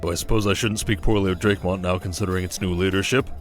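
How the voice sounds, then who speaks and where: A man acts out a young king in a fantasy audio drama. His voice sits a little low, with a stately delivery and a wry, teasing streak. Music plays softly behind him. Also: steady tone, racing, lightly acted. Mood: dry, regal. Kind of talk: audio drama acting, ASMR roleplay.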